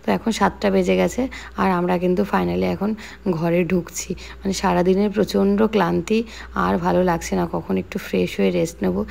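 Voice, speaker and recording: A woman's voice: fairly low pitch, level tone, moderate pace, gravelly, a little mellow. A young woman with a West Bengali accent narrating a casual daily vlog in a chatty, explanatory way. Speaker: vlogger.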